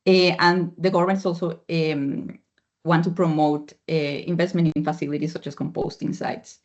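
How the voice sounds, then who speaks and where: Over a dull, lo-fi video call line comes a moderator of mid-range pitch, hesitant and full of small fillers. They frame long policy questions for the panel in a steady conversational way. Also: normal speed. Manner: hesitant, many fillers and restarts. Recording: muffled lo-fi video call audio.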